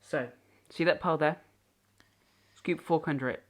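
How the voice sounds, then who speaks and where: A young man playing a stubborn, stoic farm boy and gruff older brother in an audio play. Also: fairly high pitch, normal speed, slight background noise, lightly acted. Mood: matter-of-fact.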